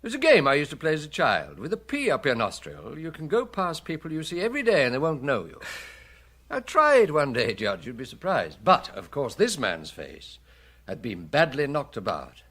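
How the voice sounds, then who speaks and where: An actor with a British accent playing a dry, deliberate, deadpan police detective in a radio drama. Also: male voice, a little high, heavily acted. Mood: drily teasing. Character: dry, wry, unhurried male police detective chief.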